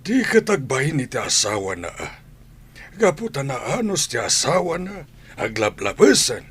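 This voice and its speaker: Actor as an animated elderly grandfather. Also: mid-range voice, normal speed, slight background noise. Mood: disapproving. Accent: Ilocano. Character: elderly grandfather, concerned, admonishing family patriarch. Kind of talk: Ilocano radio drama acting.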